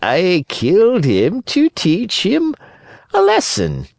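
A sly, cruel cat from a storybook, voiced by a man. The voice is pitched high and moves slowly, deliberate and smug. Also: huge pitch swings, gravelly, a little mellow, no echo, exaggerated voice.

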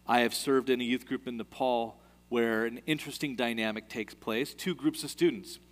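A man's voice: medium pitch, steady tone, moderate pace, in a large hall. A man preaching a sermon in an earnest way, often repeating key phrases for emphasis.

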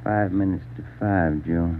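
A man's voice: deep voice, fairly even intonation, a little slow, slight background noise. An American man playing a loyal, plain-spoken police detective partner in a vintage radio crime drama. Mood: subdued. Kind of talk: 1940s-50s radio drama acting. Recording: tinny old radio recording.

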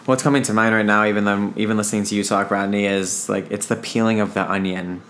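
A man's voice: on the low side, fairly even intonation, fast, a bit bright, a little hiss or hum. Earnest man in his twenties. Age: 20s. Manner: earnest, reflective, repeats phrases. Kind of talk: casual podcast conversation.

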